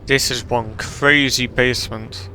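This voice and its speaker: A man's voice: mid-range voice, fairly even intonation, unhurried, bright, forceful tone, faint music behind. A man giving casual, offhand commentary as he streams a video game.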